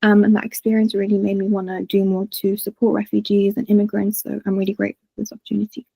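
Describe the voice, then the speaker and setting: Husky, flat woman panelist. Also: mid-range voice, fast, no room sound. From Iraq, raised in the UK. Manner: polite, steady self-introduction. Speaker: hospital worker, panelist. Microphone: video call.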